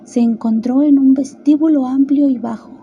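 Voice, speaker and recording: A woman's voice: high voice, steady tone, normal speed, phone-quality sound, a little hiss or hum. A woman narrating a scripted children's storybook tale as a radio drama narrator.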